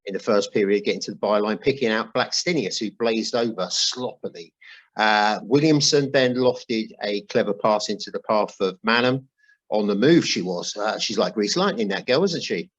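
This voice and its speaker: A man's voice: lowish voice, moderate pace, lo-fi sound. A man hosting a football podcast in a chatty way with wry asides, reading out notes and emails. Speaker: podcast host.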